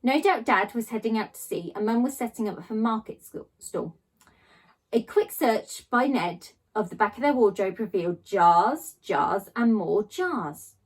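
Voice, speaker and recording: A woman reading a children's story aloud in a theatrical way, with dramatic pauses and drawn-out emphasis on key words. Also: a little high, expressive, husky, poor audio. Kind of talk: expressive children's storybook narration.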